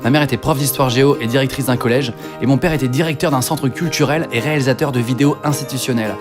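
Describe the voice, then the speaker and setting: A young man from northern France narrating his own life with quick, self-mocking jokes. He talks fast over clear background music. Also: medium pitch. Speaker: video creator. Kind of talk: comic autobiographical voice-over.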